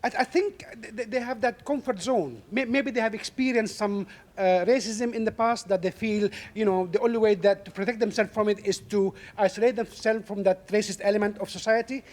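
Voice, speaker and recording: Halting woman, medium pitch. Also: darkish tone. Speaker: audience member. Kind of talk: giving opinions in a discussion.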